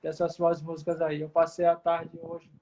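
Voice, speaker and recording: Stream host, even monotone, short affirming replies, dull lo-fi sound. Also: male voice, a little high, normal speed. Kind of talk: casual live-stream interview.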